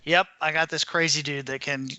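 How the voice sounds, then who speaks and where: A man with an extremely bright, forward voice, pitched a bit high, co-hosts a casual book chat among friends. The sound is very dry, with no room echo. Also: steady tone, moderate pace, muffled recording. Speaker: podcast co-host.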